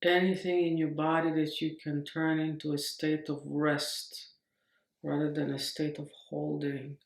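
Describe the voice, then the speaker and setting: A woman leads a guided meditation in a roomy, echoing space. Her delivery is very slow and even, with gentle instructions offered in short, unhurried phrases. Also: deep voice, vocal fry. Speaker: meditation teacher.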